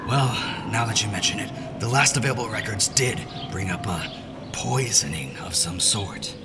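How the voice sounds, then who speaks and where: A man's voice: on the low side, animated, moderate pace, over loud music. An actor playing an anxious, skeptical man in a fantasy audio drama, questioning and agitated in manner. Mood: uneasy, resolved. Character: anxious, skeptical male companion monitoring dream connections.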